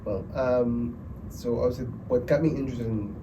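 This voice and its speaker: A man answers questions on a festival panel, relaxed and hesitant, full of ums. He sits well back from the microphone in a cinema event room. Room noise hangs behind him. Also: mid-range voice, moderate pace. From Angola. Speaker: documentary director. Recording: distant mic, noisy room.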